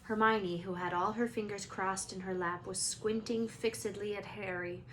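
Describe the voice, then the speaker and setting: A woman reads a story aloud like a teacher to listeners, with expression. Her pitch is average for a woman, and clear background noise runs through the home-style recording. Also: steady tone, normal speed, poor audio. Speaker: teacher reading a book aloud.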